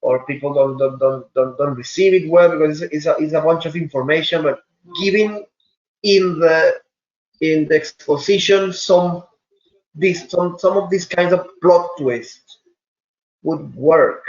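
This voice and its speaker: A man's voice: fairly high pitch, unhurried, echoey room, muffled recording. A man asking questions in a webinar, stammering and repeating words.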